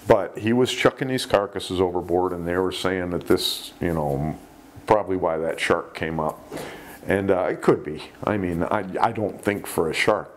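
Middle-aged male host, low voice, loose and rambling delivery, lapel mic. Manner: rambling, many fillers and restarts. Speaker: podcast host. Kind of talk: casual storytelling commentary. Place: small indoor studio.

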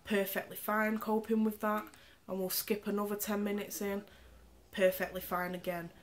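A woman's voice: mid-range voice, little pitch movement, normal speed. A woman, stuffed up and nasal from a cold, casually reviewing a tech gadget while demonstrating it on screen. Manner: chatty, explains specs while demonstrating. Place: indoor room, camera on the screen.

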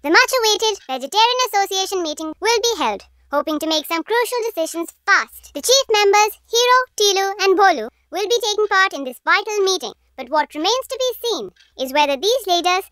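Cartoon TV reporter creature: high, bright, formal announcing. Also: female voice, lively intonation, brisk, few pauses, no room sound. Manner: formal broadcast delivery. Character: formal animal television news reporter.

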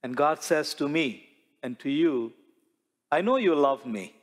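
A middle-aged man delivers a sermon as a priest, speaking slowly and deliberately and leaning on rhetorical questions. His voice sits a little high for a man. A lapel mic catches him in a large sanctuary, and a long church reverb trails every phrase. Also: lively intonation. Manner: slow, measured preaching with rhetorical questions and gestures.

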